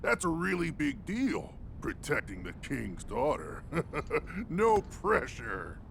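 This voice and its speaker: A man's voice: on the high side, wildly swooping pitch, slow, vocal fry, a bit bright, a little hiss or hum, full character voice. A man voicing a big, boisterous, good-natured mountain warrior creature in a video game, with a folksy, teasing manner. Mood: jovial, teasing. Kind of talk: video game cutscene acting. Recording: music behind the voice.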